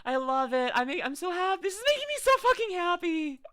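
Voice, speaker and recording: A woman's voice: extremely high pitch, big pitch swings, fast, background noise. A young woman streaming from home, chatting casually and gushing effusively, with many restarts. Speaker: streamer reacting to videos. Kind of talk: casual live-stream chat.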